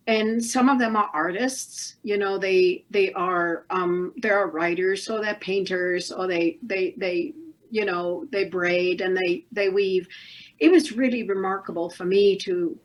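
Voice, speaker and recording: A woman with a German accent talks through a video call in an earnest, thoughtful way. Her pitch sits in an ordinary middle range, and she restarts phrases now and then as she explains. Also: low-quality recording. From northern Germany. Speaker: documentary producer, guest. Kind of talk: casual interview over video call.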